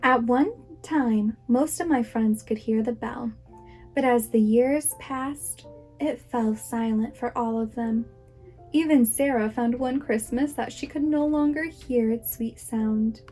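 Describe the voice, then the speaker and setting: A woman reading a children's story aloud as a preschool teacher would, with dramatic, deliberate expression. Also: a little high, dark tone. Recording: music behind the voice. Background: music.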